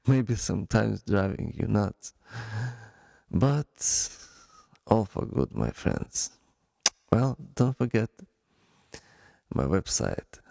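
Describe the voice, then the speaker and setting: A man with a Ukrainian accent hosting a solo podcast, speaking in a deliberate, halting way with pauses. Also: low voice, creaky, darkish tone, no echo, studio quality. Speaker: podcast host.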